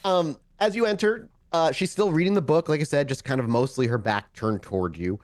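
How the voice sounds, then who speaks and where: A man working as game master, improvising descriptive narration in a conversational way, often pausing for drama and correcting himself mid-sentence. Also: a little high, no room sound. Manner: halting, self-correcting, dramatic pauses. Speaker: storyteller, game master of a tabletop roleplay show.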